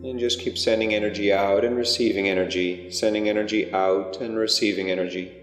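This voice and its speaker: A man leading a guided meditation, giving unhurried, hushed instructions with pauses between phrases. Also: on the low side, steady tone. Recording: long echoing reverb, music underneath. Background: music.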